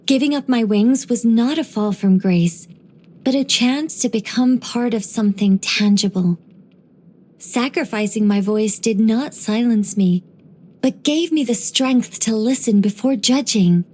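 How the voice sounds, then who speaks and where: A woman voicing an ancient angel in a video game, dignified yet whimsical and chatty, with a measured, gently playful delivery. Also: on the high side. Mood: wistful, serene. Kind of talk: video game character voice lines.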